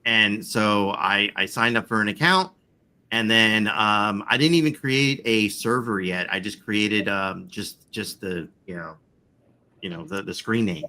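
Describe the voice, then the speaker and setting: Bright-voiced man in his forties. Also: fairly low pitch, a little slow. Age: 40s. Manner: rambling, swears casually, frequent fillers. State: chronic back pain. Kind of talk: casual chat.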